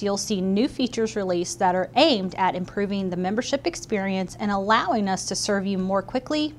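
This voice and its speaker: A woman co-hosts a hobby news show, moving between scripted announcements and friendly banter. Her tone is upbeat and promotional, with a faint low rumble behind her. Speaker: show co-host.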